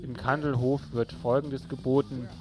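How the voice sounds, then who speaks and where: A man's voice: medium pitch, level tone, moderate pace, rough recording. A man presenting event listings on local radio in an informal, colloquial way. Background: music playing underneath.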